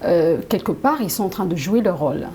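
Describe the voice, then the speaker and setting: A woman's voice: on the low side, lively intonation, a little fast, dark tone, breathy edge. A woman presenting a news programme and putting questions to a guest in a studio. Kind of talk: studio interview hosting.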